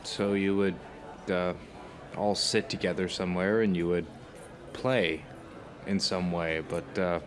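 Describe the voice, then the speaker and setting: A man playing a curious, hesitant old friend at dinner, speaking haltingly in an audio drama. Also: sits low, flat delivery. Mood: puzzled. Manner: halting, tentative. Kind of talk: conversational audio drama acting.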